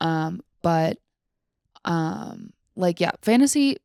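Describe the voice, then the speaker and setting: A woman's voice: on the low side, huge pitch swings, slow, vocal fry, a little mellow, no room sound, studio quality. A young woman co-hosting a podcast, chatting casually and giving opinions in very informal speech sprinkled with "like" and mild swearing. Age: young adult. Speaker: podcast co-host.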